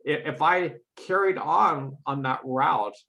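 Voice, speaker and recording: Man, mellow voice, halting and repetitive, slightly high pitch, casual chat. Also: creaky, poor audio. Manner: halting, repeats words often. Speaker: guest.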